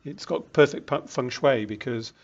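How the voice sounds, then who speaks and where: Older British businessman interviewee, measured and unhurried, slightly muffled recording. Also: medium pitch. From United Kingdom. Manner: measured, frequent ums and self-restarts. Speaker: interviewee, regional executive. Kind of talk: casual interview.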